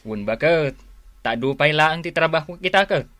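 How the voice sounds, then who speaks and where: A man's voice: fairly high pitch, animated, moderate pace, fairly bright tone. A man acting an idle, reluctant village husband in a radio drama, with an Ilocano accent. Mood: reluctant, agreeable.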